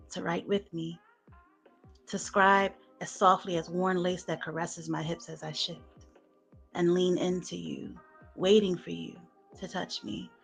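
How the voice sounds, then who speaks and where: A woman performing sensual spoken word poetry in a soft, smooth, hushed voice. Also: on the low side. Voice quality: soft, smooth, breathy-edged voice. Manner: hushed, slow sensual delivery with soft laughs in chat. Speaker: guest poet.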